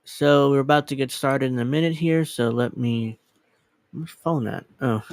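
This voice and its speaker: A man's voice: medium pitch, normal speed, a little mellow, no room sound, studio quality. A man in his thirties chatting casually as a livestream host, rambling and scattered, self-deprecating and casually profane. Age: 30s. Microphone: dedicated microphone.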